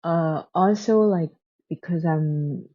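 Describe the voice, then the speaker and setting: A woman's voice: deep voice, takes it slowly, highs cut off. A woman who speaks English as a second language, chatting casually and unscripted like a book vlogger, often hesitating and searching for words. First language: not English, speaks it as a second language.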